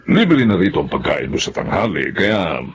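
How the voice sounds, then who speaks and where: A man with a Filipino accent and gravelly voice playing a brisk, kindly boss in a TV drama. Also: deep voice, big pitch swings, muffled recording, background noise, heavily acted. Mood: brisk, admonishing. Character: brisk, kindly male boss hiring a new cashier.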